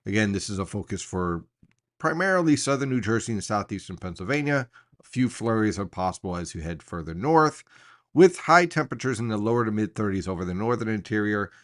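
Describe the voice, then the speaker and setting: A man with a fairly low voice talks through a weather forecast. He explains it in an informal briefing style, putting emphatic stress on the key points.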